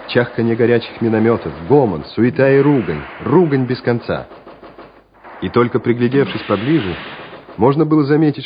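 A man's voice: mid-range voice, a little hiss or hum. A man narrating a war radio play in a scripted, dramatic storytelling style. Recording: dull radio recording with music behind.

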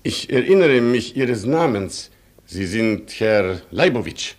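An actor in a German radio play playing a haughty, stubborn cavalry colonel with a husky voice and a pompous, clipped, condescending delivery. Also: male voice, on the low side, sing-song, slow, exaggerated voice. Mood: haughty, disdainful.

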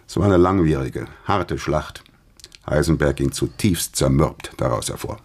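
Husky, very deep-voiced older professor. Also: male voice, lively intonation, a little slow, darkish tone, full character voice. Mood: weary, emphatic. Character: grave older German physics professor recalling the Nazi era. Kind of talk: radio drama dialogue.

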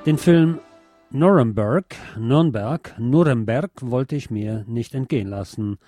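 Man with a mellow voice reading news. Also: medium pitch, takes it slowly, dry recording, faint music behind. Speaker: radio magazine host. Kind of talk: scripted news narration with commentary.

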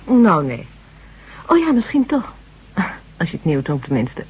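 An actress playing a composed brain specialist chatting with a companion in a radio drama. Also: female voice, low voice, huge pitch swings, unhurried, husky, full character voice. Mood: inquisitive. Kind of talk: conversational radio drama acting. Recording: dull vintage 1970s radio recording.

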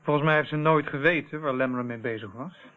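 An actor playing a matter-of-fact, proud police officer in a Dutch radio play, on an old narrow-band recording. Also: male voice, mid-range voice, fast talker, close to an everyday voice. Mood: explanatory.

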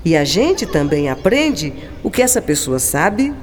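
A woman's voice: deep voice, a little slow. Lilting, philosophical woman writer. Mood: playful, earnest. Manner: musing, affectionate, expressive. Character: gentle, philosophical woman writer confiding in a girl. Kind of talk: radio drama acting. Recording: long reverb, clear background noise.

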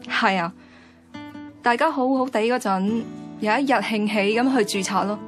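A woman's voice: medium pitch, moderate pace, faint music behind, lightly acted. An actress with a Hong Kong Cantonese accent playing a frank, idealistic young woman in colloquial radio drama dialogue. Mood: rueful.

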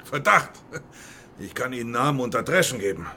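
Irascible boss, sharp, gravelly voice. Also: male voice, mid-range voice, very animated, a little fast, slight background noise, full character voice. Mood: agitated, accusing. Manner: blustering, indignant. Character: irascible, aggrieved male poultry megastore boss. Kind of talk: German radio crime drama acting.

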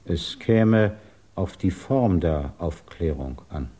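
A man playing a measured, courteous British government investigator in a 1950s German radio drama, formal, deliberate and patiently probing. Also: sits low, lively intonation, dark tone, exaggerated voice.

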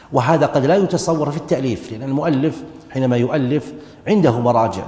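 A man's voice: mid-range voice, dark tone. A man teaching Islamic jurisprudence as a classroom lecture, explaining a text step by step, counting out his points and restarting words. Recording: echoey hall reverb.

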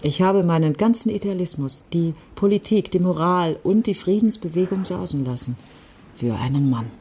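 A wistful, passionate woman in a radio play, low and mellow in tone. She confides her memories in a reflective way. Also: lively intonation, moderate pace, slightly rough. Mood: wistful, regretful. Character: wistful, passionate woman recalling a past love affair. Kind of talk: radio drama acting.

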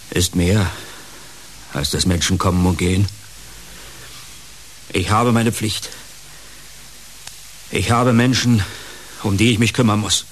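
Low-voiced, weary older man. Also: slight background noise, poor audio. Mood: halting, resigned. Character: weary, wry older male former TV series actor. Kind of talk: naturalistic radio play acting.

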